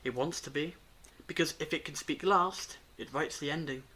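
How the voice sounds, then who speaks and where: Audio drama time traveller, acted: knowing, portentous, measured, mid-pitched voice. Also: pushed and bright, a little hiss or hum, lightly acted. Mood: urgent warning. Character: grave knowing time traveller explaining an ancient threat.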